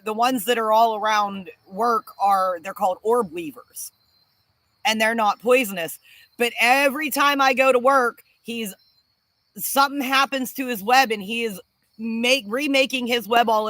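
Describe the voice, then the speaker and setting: A woman co-hosting a casual live-stream chat, telling animated stories bluntly, with some casual swearing. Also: a little high, moderate pace, dry recording. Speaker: homestead channel co-host.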